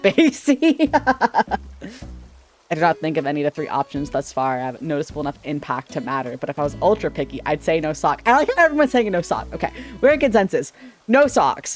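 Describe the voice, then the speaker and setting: A man chatting casually on a livestream with a slightly shrill, sharp-sounding voice, rambling playfully and tripping over his words. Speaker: streamer. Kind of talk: casual livestream chat with viewers. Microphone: desk microphone.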